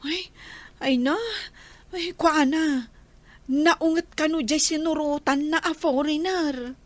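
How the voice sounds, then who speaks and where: A woman playing an excitable, gossipy friend in an amateur audio drama, with an Ilocano accent. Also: sits high, takes it slowly, no echo. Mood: concerned.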